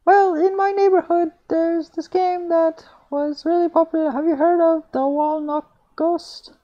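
A man voicing a chatty young female art student in a thin falsetto, reading a visual novel aloud. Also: little pitch movement, unhurried, muffled recording. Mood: explaining.

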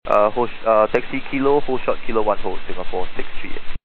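Quick-talking male pilot on noisy radio. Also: on the low side, phone-quality sound. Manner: polite, procedural, repeats phrases. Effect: aviation radio. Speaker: airline pilot. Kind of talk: radio readbacks to air traffic control. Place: aircraft radio transmission. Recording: thin cockpit radio with clear hiss.

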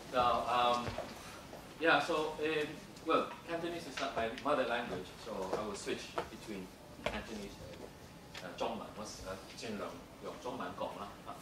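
A man giving an academic lecture, halting and full of fillers, switching between English and Cantonese. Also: medium pitch. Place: lecture room. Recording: echoey room, distant, noisy.

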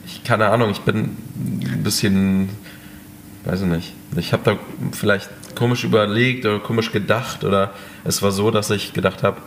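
A man who is a chef, answering interview questions in a relaxed, hesitant way with many fillers. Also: on the low side, normal speed, slight background noise. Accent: German. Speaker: chef, restaurant owner. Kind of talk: casual interview talk.

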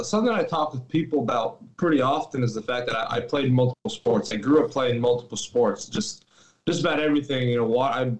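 A man's voice: mid-range voice, reverberant, low-quality recording. Young man on a video call. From Greece. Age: young adult. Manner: relaxed, many fillers and restarts. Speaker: guest athlete. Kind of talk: casual interview chat. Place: small room at home.

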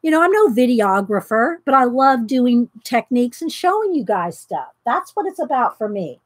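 A chatty middle-aged woman with a lilt and a high, bright voice. She talks loud and friendly into an overhead desk camera from her home craft room, laughing between thoughts. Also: sing-song, moderate pace, dry recording. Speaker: craft video host. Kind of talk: casual live craft chat. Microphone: overhead camera pointing down at desk.

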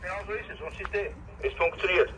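A man's voice: high voice, steady tone, quick pace. A man with an Austrian accent chatting casually through a CB radio speaker. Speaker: CB radio operator running a gateway. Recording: muffled noisy radio speaker, picked up outdoors.

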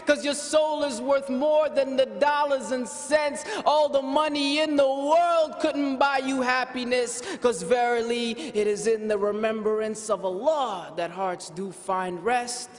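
High-voiced man performing spoken word poetry. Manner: rhythmic rhyming delivery, swings from soft to shouted. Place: large stage at a conference. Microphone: podium microphone. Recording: hall reverb.

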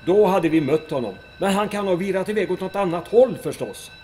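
An actor playing a dry, unflappable officer in an audio drama, formal, wordy and archly ironic. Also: male voice, high voice, lively intonation, darkish tone, slight background noise. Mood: composed. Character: dry, unflappable, courteous male officer with arch irony.

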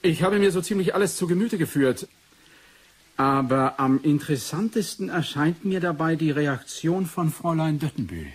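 A precise, husky research doctor. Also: male voice, on the high side. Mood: matter-of-fact. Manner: measured, formal, clipped dictation. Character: male research doctor, precise, analytical, brooding. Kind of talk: 1980s German science fiction radio play acting.

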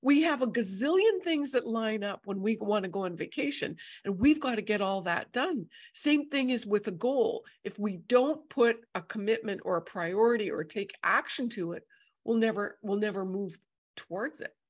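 A woman's voice: medium pitch, animated, normal speed. A middle-aged woman, speaking as a host and coach in conversation on a video call. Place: indoor room, video call.

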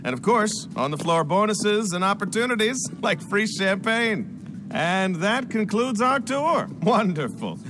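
Game role: obsequious casino host, highish voice, very animated, music behind. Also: male voice, a little slow. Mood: showy, eager. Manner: obsequious, flustered, formal. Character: fussy, eager-to-please male casino host. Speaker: casino guest services director. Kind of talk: video game cutscene acting.

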